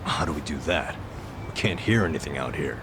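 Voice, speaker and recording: An actor in an English game dub playing a blunt, impatient street tough who talks directly. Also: male voice, a bass voice, animated, fairly quick, background noise. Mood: eager, suspicious. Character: blunt, impatient tough guy, low-ranking street yakuza. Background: music.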